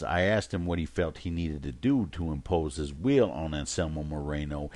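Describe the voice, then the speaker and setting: A man with a low voice presents a boxing podcast on his own. His delivery is measured and announcer-like, as if working from prepared notes. The sound is dry and close, with very little room in it. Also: no echo. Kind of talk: scripted podcast monologue recap.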